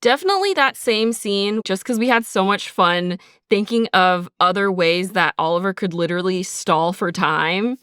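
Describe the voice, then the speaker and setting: A woman's voice: medium pitch, animated, moderate pace, fairly bright tone, clean tone, dry recording, studio quality. A woman podcast host talking casually about her craft, peppering her sentences with "like". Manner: informal, frequent "like" as filler. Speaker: podcast host and audio drama sound designer. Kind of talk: casual behind-the-scenes podcast chat.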